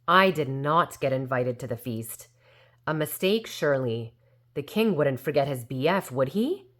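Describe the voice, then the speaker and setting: Woman playing an animated, indignant old fairy. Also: a bass voice, a little slow. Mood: hurt, earnest. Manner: defensive, self-assured storytelling. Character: very old, indignant, well-meaning bungling female fairy. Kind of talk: storybook character narration.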